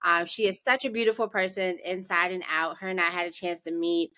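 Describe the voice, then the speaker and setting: Bright-voiced woman hosting a podcast. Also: on the low side, steady tone, brisk. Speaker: podcast host. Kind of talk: podcast introduction and interview chat.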